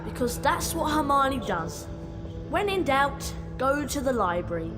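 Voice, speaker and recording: A high, animated voice as an earnest young English schoolboy in a wizard video game. The delivery swings up and down, with music clearly playing underneath. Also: male voice, a little slow, full character voice. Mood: puzzled. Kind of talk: video game cutscene voice acting.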